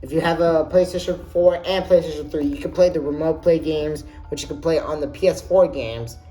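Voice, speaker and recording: A man's voice: fairly high pitch, brisk, warm tone, faint music behind. A man showing his handheld game collection, talking casually and enthusiastically, often restarting his sentences. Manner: rambling, enthusiastic, frequent restarts. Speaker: gaming YouTuber. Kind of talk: casual menu walkthrough.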